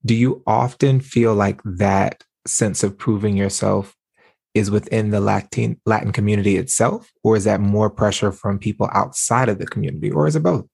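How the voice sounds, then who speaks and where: Brief: man co-host, deep and gravelly, husky, dry close sound, thoughtful asker. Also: steady tone, a little mellow, dry recording. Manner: careful, measured questioning. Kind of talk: casual interview chat.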